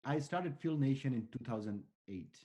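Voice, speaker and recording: Male founder, forties, from Bangladesh; relaxed dark timbre, earnest conversational answers. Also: mid-range voice, normal speed. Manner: earnest, talks in long strings of restarts and fillers. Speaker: startup founder, interview guest.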